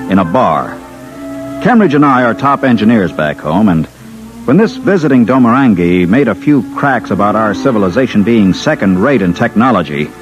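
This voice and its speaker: An American actor playing a wry Earth engineer in a 1950s radio drama, narrating and talking. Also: male voice, medium pitch, lightly acted. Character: wry male Earth engineer recounting his space mission. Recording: muffled old radio recording with music.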